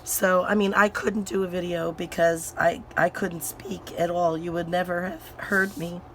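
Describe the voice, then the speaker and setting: Slow, low-voiced woman with a hoarse, recovering voice. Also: level tone, a bit bright, a little hiss or hum, poor audio. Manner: rambling, full of fillers and restarts. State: hoarse, voice recovering from sinus infection. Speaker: craft channel host. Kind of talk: casual crafting chat while working.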